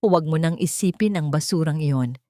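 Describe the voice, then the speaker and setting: A woman's voice: sits low, moderate pace, warm tone, dry recording, studio quality, close to an everyday voice. A woman voicing an arrogant businessman villain in an audiobook drama, haughty and sneering. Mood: contemptuous. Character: arrogant, impatient businessman villain with mocking contempt.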